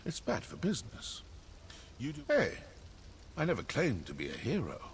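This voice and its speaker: Game rogue: boastful male killer-for-hire, wry, animated low delivery, faint background noise. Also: moderate pace. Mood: wry, dismissive. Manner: smug, dryly witty. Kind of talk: video game character dialogue.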